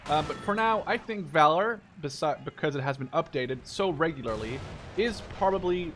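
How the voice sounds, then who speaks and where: Casting: male gamer, twenties, Dutch native speaker, lighter-pitched voice, informal and digressive commentary over faint music. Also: animated, normal speed, fairly bright tone. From Netherlands. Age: 20s. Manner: rambling, digressive, informal with mild swearing. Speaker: gaming video creator. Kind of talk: casual gameplay commentary.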